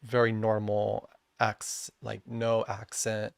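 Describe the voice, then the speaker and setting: Easygoing young man with a gravelly edge. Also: lowish voice, slow, no room sound, studio quality. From Japan. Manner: relaxed, reflective, lots of fillers and restarts, switching between English and Japanese. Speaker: podcast guest. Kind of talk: casual chat.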